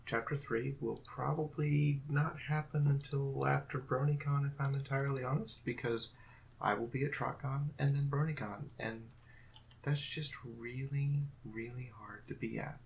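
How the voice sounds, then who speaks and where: Host wrap-up: a woman, gravelly, rambling and hesitant, faint noise behind. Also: very deep voice, fairly even intonation, measured pace. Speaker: host and director. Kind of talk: casual closing remarks.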